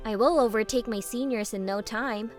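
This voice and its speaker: A woman's voice: on the high side, normal speed, darkish tone, faint music behind, close to an everyday voice. A woman playing a cheeky, overconfident young office junior in an English manga dub. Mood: smug.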